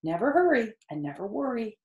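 Lady reading as a calm mom-like spider, reassuring, kinda instructive, storybook, roomy sound. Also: fairly high pitch, brisk, highs cut off, voice pushed up. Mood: gently firm. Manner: cool, collected, gently instructive. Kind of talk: read-aloud children's storybook. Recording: roomy, echoey indoor sound.

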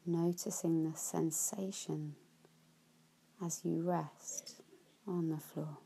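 A woman guides a deep relaxation practice in a low, mellow voice. She speaks very slowly and gently, letting many phrases fade into a breathy whisper. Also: steady tone, a little hiss or hum, low-quality recording. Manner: soft, slow, with whispered phrase endings. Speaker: yoga nidra teacher.

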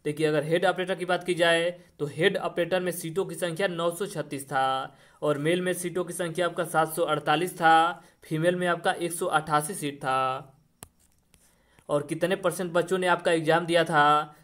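A male coaching teacher with a somewhat high voice. He explains in an instructional online-lesson style, repeating points and putting questions to the listener before answering them. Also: fairly bright tone.

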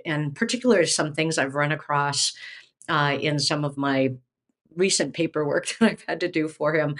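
A middle-aged woman, a professor co-hosting, talking reflectively over a video call from her home and often restarting her phrases. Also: low-pitched. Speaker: seminary professor and podcast co-host. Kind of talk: reflective conversational discussion. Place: home room, indoors. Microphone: webcam on video call.

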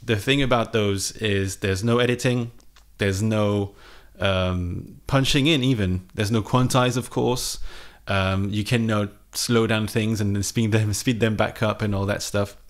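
A man's voice: lowish voice, steady tone, unhurried. A man in his thirties chatting casually and unscripted, like a music vlogger talking to camera from his home studio. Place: small home studio room indoors. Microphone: microphone on a boom arm, close.

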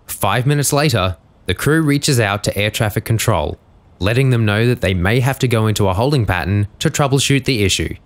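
A man's voice: fairly low pitch, animated, fairly quick. A man narrating in a scripted, explanatory documentary style.

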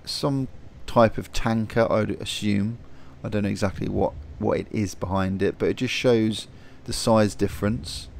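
Gravelly low-voiced man vlogging. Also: a little hiss or hum. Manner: relaxed, chatty, thinking aloud with fillers. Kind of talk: casual vlog narration.

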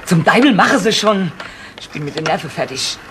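A woman's voice: lowish voice, animated, fairly quick, close to an everyday voice. A woman playing a brusque, foul-mouthed prostitute under suspicion in a 1970s German crime radio play, blunt and slangy. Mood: angry, frantic. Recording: noisy vintage radio drama recording.

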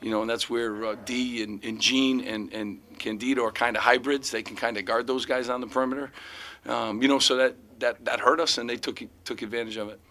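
Bright-voiced middle-aged coach at press conference. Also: male voice, mid-range voice, fairly even intonation, fairly quick, few pauses. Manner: rambling, frequent "you know" fillers and restarts. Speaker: head basketball coach. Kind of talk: postgame press conference answers. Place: press room in front of sponsor backdrop. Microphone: table mic in front of him.

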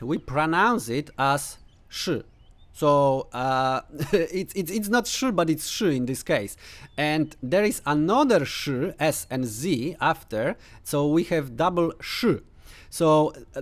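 Man, 30s, Polish accent, slow unhurried pace, home chess commentary, filler-heavy, playful. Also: fairly high pitch. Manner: unhurried, thinking aloud with many uh fillers, playful teasing asides. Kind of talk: casual chess commentary to camera. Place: home room with bookshelves, indoors.

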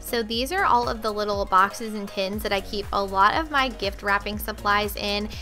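A woman's voice: mid-range voice, normal speed, over loud music. A young woman narrating her cleaning vlog in a friendly, chatty voice-over, talking straight to her viewers. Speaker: cleaning vlogger.

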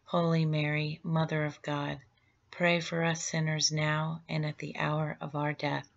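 A woman leading a rosary, reciting prayers in a slow, steady and reverent way. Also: deep voice, creaky, dull audio. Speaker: prayer leader.

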